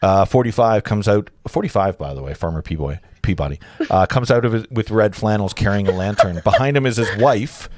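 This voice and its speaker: Low-voiced man reading script directions. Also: expressive, a little fast, few pauses, a little mellow, slight background noise. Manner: stumbling, self-correcting, amused asides. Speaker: narrator at a table read. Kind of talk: informal live reading of screenplay directions.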